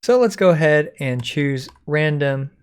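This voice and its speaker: A man giving a casual software code-along, thinking aloud informally as he types. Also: fairly high pitch, animated, a little slow, clear, resonant tone. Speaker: software tutorial instructor.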